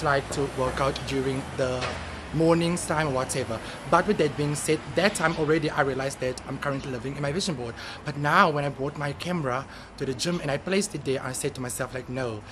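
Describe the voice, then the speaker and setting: A man vlogging casually, chatting directly to his viewers. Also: on the high side. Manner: chatty, addresses viewers as guys. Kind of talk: casual vlog chat to viewers. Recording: noisy vlog audio with background ambience.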